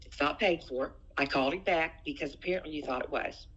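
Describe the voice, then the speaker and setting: Middle-aged woman, lowish and sharp-toned, insistent and repetitive, in a family phone dispute. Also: fairly quick, slightly rough, lo-fi sound. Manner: insistent, repeats phrases. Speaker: private caller in a family dispute. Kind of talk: heated personal phone argument.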